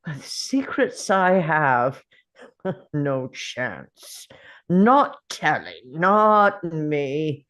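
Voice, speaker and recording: Woman acting as a theatrical, slow, swooping time traveller. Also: deep voice, very animated, a bit bright, heavily acted. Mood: defiant. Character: ancient male time traveller, brooding, defiant, sardonic showman. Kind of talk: dramatic script table read.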